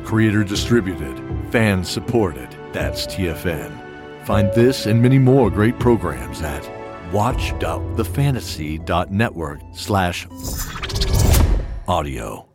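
A man's voice: low-pitched, sing-song, takes it slowly, music in the background. A man reading a scripted network promo as an announcer.

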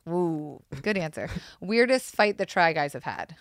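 A young woman hosting a podcast, asking rapid questions in a teasing, quick-witted way and laughing often. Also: fairly low pitch, expressive, dry recording, clean studio sound. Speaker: podcast host. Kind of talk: casual interview chat.

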